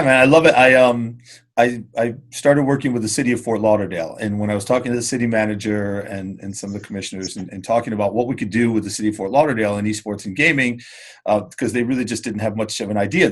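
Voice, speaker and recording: Quick talking host guy, kinda husky, chatty and upbeat. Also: mid-range voice. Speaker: podcast host. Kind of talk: casual interview chat.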